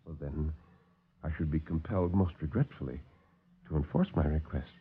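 A man playing a calm, coldly polite doctor villain in a 1940s radio drama. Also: very deep voice, husky, pitched below the performer's own voice, exaggerated voice. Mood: suave menace. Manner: courteous, unhurried, coldly polite. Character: suave, calm, menacing male doctor villain. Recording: dull old radio broadcast.

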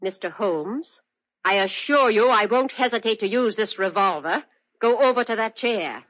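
A woman's voice: high-pitched, very animated, moderate pace, a bit bright. An actress playing a society lady who flutters and giggles, then turns into a cold armed villain, in an old radio drama. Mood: menacing. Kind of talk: 1940s radio acting. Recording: dull lo-fi old radio recording.

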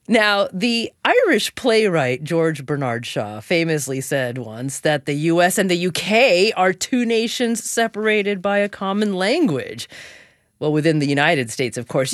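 A woman's voice: fairly low pitch, no room sound. A woman hosting a public radio interview show, conversational and repeating words as she thinks aloud on a studio microphone.

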